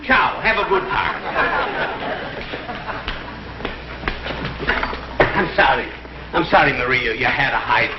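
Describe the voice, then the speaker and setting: An actor playing an excitable, flirtatious Italian husband in a vintage TV comedy sketch, stammering in broken English with a mock Italian accent. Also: male voice, mid-range voice, a little fast, natural voice. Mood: eager, flustered. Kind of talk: 1960s TV comedy sketch acting. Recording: muffled old TV audio, noisy studio audience.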